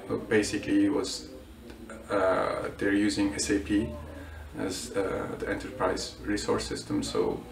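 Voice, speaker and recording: A young man from Bulgaria answers interview questions in a low, creaky voice. He hesitates often, with fillers and restarts. The sound is roomy and distant, as if the mic sits well away from him. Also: big pitch swings, unhurried. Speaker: student.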